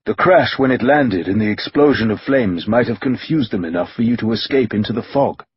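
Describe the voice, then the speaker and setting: Brief: short elderly gentleman, calm and clever, dry delivery, read by a man. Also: fairly low pitch, brisk, muffled recording, slight background noise, natural voice.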